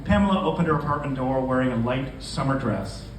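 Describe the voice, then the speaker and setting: A man's voice: mid-range voice, expressive, brisk. A man, a novelist, speaking to introduce and read his fiction at a live indoor literary event. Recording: echoey room from a distance, noisy.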